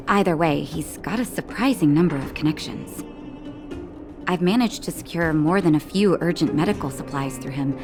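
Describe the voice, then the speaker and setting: A calm woman doctor from a video game, with a slightly low voice. She speaks gently and with composure, reassuring and caring. Soft music plays behind her scripted lines. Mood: wry, composed.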